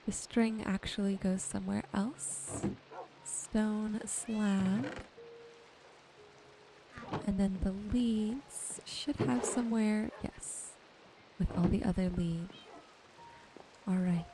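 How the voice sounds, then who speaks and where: A woman softly and gently narrating her gameplay in an ASMR style, musing to herself as she goes. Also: medium pitch, steady tone, takes it slowly, long pauses, background noise. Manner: soft-spoken, gentle musing to herself while sorting. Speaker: gaming video host.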